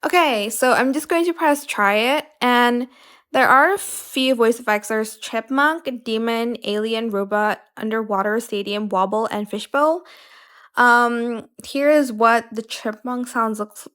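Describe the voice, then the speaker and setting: Higher-voiced woman giving a casual tutorial. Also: unhurried, fairly bright tone. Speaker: tutorial host. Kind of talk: casual how-to tutorial narration.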